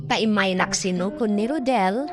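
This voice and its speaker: A woman's voice: medium pitch, normal speed, close to an everyday voice. A woman voicing the first-person narrator of a sorrowful family story, in scripted drama narration. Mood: sorrowful, resigned. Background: music under the voice.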